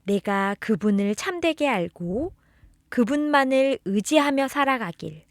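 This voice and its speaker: A woman narrates a children's religious storybook in a fairly high voice, speaking directly to a beloved child. Her delivery is expressive and unhurried. The scripted lines are told as a story. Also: no echo, studio quality. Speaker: storybook narrator reading children's catechism tales.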